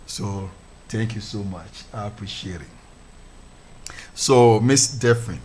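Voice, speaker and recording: A man's voice: fairly low pitch, slow pace, darkish tone, slightly rough. A man, a pastor hosting a church radio show, interviewing a guest and praying in a fervent, formal way. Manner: fervent, formal, repeats hallelujah.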